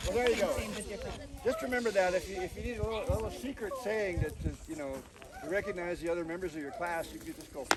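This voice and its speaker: A man reading his storybook aloud to listeners outdoors, with expressive telling and chatty asides. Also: high voice, fast talker. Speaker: author reading from own book. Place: outdoors on a mountain. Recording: distant mic, noisy outdoor recording.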